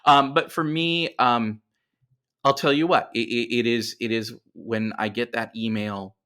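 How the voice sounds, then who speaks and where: A man's voice: medium pitch, steady tone, a little slow, pushed and bright, clean tone. A middle-aged man talking casually as a writer being interviewed, animatedly explaining things and often repeating words as he goes. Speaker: writer and podcast guest.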